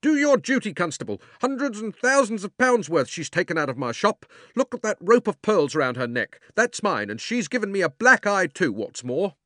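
A man voicing an outraged fat shopkeeper who shouts his accusations in a high, strained voice. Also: very animated, moderate pace, hardly any pauses, dry recording, clean studio sound. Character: fat angry middle-aged shopkeeper, shrill.

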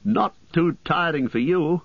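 A man's voice: fairly high pitch, expressive, a little slow, vocal fry, breathy edge, no room sound, dull audio, voice pushed up, full character voice. A man doing a lofty, teasing elf. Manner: teasing, amused, aloof. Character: wry, lofty male elf minstrel.

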